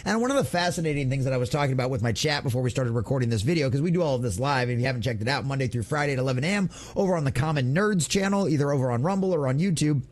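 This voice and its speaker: A man's voice: mid-range voice, expressive, racing, hardly any pauses. A man hosting an online gaming news show, giving opinionated commentary in an emphatic, theatrical, mocking way.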